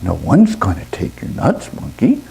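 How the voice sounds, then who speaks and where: A performer voicing a wise male owl in a storytelling session, calm, measured and reasonable, gently giving advice. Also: sits low, gravelly, a little hiss or hum, heavily acted, low-quality recording. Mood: calm, patient.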